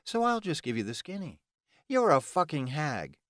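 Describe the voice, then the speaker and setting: A man's voice: a little high, big pitch swings, normal speed, a bit bright, dry recording, clean studio sound, pitched above the performer's own voice. A man voicing a defiant, sarcastic young heroine in an audiobook, challenging whoever she talks to. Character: feisty, defiant mortal woman in love.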